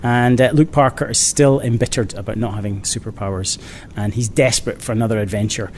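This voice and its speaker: A man's voice: steady tone, fairly quick. A man answering interview questions as a children's author, in a casual way with dry, playful humour. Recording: clear background noise, low rumble.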